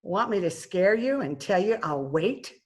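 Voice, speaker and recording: A woman's voice: medium pitch, sing-song, unhurried. An actress playing a brash, joking, aging cleaning woman in an old-time radio detective drama. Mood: cheeky. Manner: brassy, joking banter. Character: brash, wisecracking aging scrubwoman, tough and sporty. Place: at home, recorded remotely.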